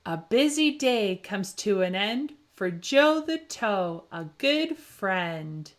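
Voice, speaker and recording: A woman reading a children's picture book aloud with expressive, rhythmic phrasing and dramatic emphasis for young listeners. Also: mid-range voice, slow pace. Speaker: children's story reader.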